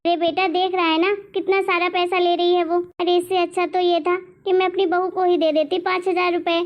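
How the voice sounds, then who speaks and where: A woman's voice: extremely high pitch, flat delivery, a little fast. A woman voicing an anxious, complaining older mother-in-law in a cartoon family drama, with a fretful, nagging manner. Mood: regretful.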